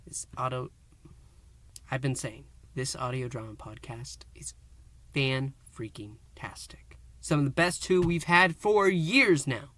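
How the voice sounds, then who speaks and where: A man hosting a fan review and recap, speaking in short, halting phrases with theatrical emphasis. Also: medium pitch, sharp tone. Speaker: host of a fan review show. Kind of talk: review and recap to camera, semi-dramatic storytelling.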